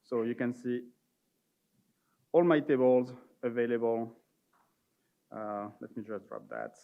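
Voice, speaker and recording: A man giving an informal, somewhat halting live product demo as a conference presenter. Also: medium pitch, gravelly, narrow, tinny audio.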